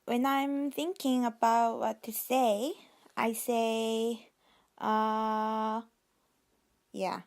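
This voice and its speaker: Slow woman. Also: on the high side, little pitch movement. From South Korea. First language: Korean. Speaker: contributor.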